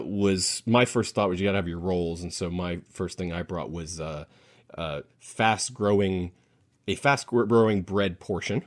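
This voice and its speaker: A man hosting a casual panel chat, speaking in a rambling, halting way as he thinks aloud. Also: deep voice. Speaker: podcast host.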